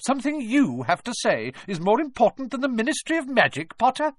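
A man's voice: high-pitched, big pitch swings, normal speed, fairly bright tone, dry recording, voice pushed up, full character voice. A man voicing a stern, haughty older woman professor with a cold, curt manner in an audiobook. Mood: haughty, insistent. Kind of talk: audiobook character voice.

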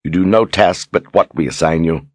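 A man's voice: sits low, moderate pace, no echo, clean studio sound. A man voicing a haughty, mocking older sorceress who talks down to everyone. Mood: scornful. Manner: mocking, condescending. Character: haughty older female sorceress, sharp-tongued.

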